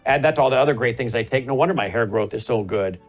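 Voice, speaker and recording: A man's voice: medium pitch, fast, faint music behind. Male talk host, middle-aged, emphatic, pushes hard on rhetorical questions. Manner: emphatic, rising to forceful questions. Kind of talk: political commentary and panel hosting.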